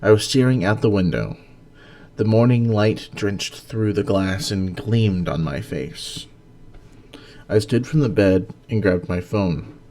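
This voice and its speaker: Low-voiced man, an expressive storyteller. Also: slow. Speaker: host and story narrator. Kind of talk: dramatic story reading and casual discussion.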